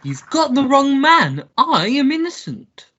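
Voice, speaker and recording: A woman playing a pompous young gentleman suitor in a melodramatic radio play, with a theatrical, drawn-out manner. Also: sits high, sing-song, highs cut off, exaggerated voice. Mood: indignant. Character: pompous young gentleman suitor, self-satisfied, easily outraged.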